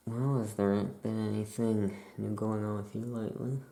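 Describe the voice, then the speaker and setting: A soft-spoken ASMR performer playing a laid-back witch shopkeeper, musing aloud in an unhurried, creaky voice. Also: mid-range voice, level tone. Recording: faint background noise.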